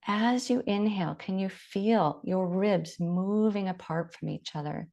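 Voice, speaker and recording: A woman leading a guided body scan meditation, giving gentle, unhurried instructions with long pauses. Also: mid-range voice, gravelly.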